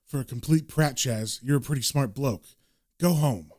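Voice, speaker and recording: A man's voice: medium pitch, normal speed, a little mellow, no echo, voice pushed down, natural voice. A man voicing a cynical British occult detective in a dry, muttered, slangy way, as in a comic book story. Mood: sardonic.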